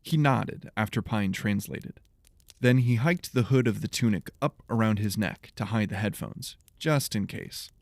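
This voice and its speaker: A man narrating scripted fiction as an audiobook, in a measured storytelling manner. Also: lowish voice, creaky, dry recording, clean studio sound.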